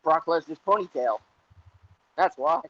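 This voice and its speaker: A man co-hosting a casual wrestling podcast who talks in halting restarts and drifts into jokes. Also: fairly high pitch, sing-song, gravelly, no room sound, dull audio. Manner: hesitant, many restarts, joking. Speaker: podcast co-host. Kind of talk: casual chat.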